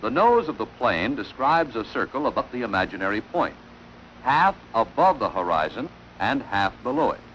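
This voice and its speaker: A man delivering formal, measured instructional narration in the style of a wartime training film, on an old film soundtrack. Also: mid-range voice, sing-song, fairly bright tone, slight background noise, poor audio.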